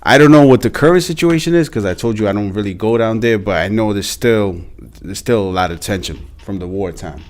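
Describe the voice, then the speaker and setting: Low-voiced man from the former Yugoslavia. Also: a little fast, few pauses. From former Yugoslavia, grew up mostly in Serbia. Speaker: podcast cast member. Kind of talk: casual group discussion, personal storytelling.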